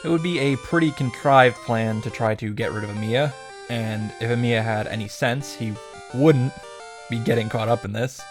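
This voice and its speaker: A man's voice: mid-range voice, normal speed. A man hosting a visual novel reaction summary, narrating casually and comedically with sarcastic, sweary commentary. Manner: sarcastic, profane. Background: music.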